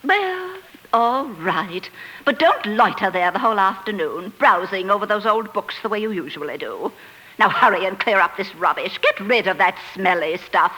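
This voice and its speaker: Sharp, bossy wife. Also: female voice, medium pitch, lively intonation, poor audio. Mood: bossy, impatient. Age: middle-aged. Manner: domineering, brisk, sarcastic. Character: bossy, scornful middle-aged English wife, nagging. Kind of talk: 1940s radio drama acting. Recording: old 1940s radio broadcast.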